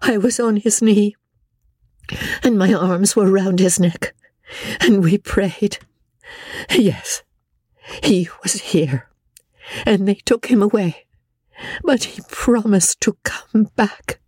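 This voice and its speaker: A woman reading a story, voicing a grief-stricken young woman in a high, breathy, pleading voice. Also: slow, long pauses, warm tone, no room sound, clean studio sound. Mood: distraught. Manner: wild, pleading, tearful. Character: young grieving woman, distraught and fragile.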